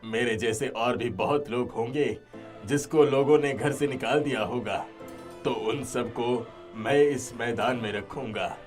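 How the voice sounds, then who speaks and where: A man acts out an old village father for a cartoon moral tale. He is kindly, firm and earnest, speaking slowly at a middle pitch with music behind him. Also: close to an everyday voice. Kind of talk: Hindi moral-story cartoon acting.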